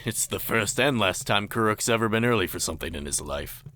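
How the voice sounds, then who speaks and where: A man playing a stern, dry-witted, easily exasperated middle-aged official in an animated fantasy story. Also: fairly low pitch, a little fast, a little hiss or hum. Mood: dry, thoughtful. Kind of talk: animated fantasy visual novel voice acting.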